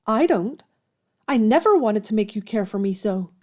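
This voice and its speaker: A woman's voice: medium pitch, animated, normal speed, darkish tone, close to an everyday voice. An actress playing a frank, spirited young woman in an audio drama, speaking earnestly and candidly. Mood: pained, pleading.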